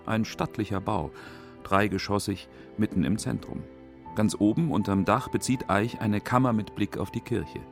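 A man's voice: lowish voice, normal speed, gravelly, faint music behind. A man narrating a scripted radio documentary in short, factual phrases.

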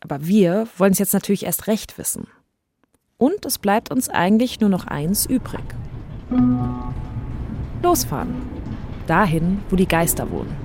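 A woman's voice: mid-range voice, big pitch swings, unhurried, pauses often. Female podcast host, medium register, conversational investigative storytelling, music bed underneath. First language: German.

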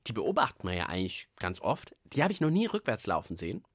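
A man's voice: on the low side, huge pitch swings, fast. A man co-hosting a children's science show, chatting casually and playfully as he thinks aloud. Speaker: co-host of a children's science podcast.

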